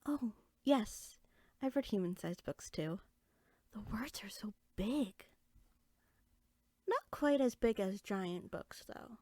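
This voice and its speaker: A woman playing an inquisitive, friendly young fairy sorcerer in a conversational roleplay audio drama, chatty and eager with soft asides. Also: mid-range voice, animated, takes it slowly, pauses often, no room sound. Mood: cheerful.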